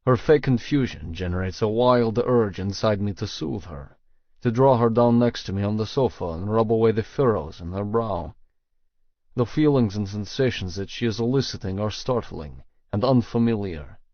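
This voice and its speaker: A man reading first-person audiobook narration in a measured, serious way, with a slightly dull, dry sound. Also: on the low side, animated, no echo.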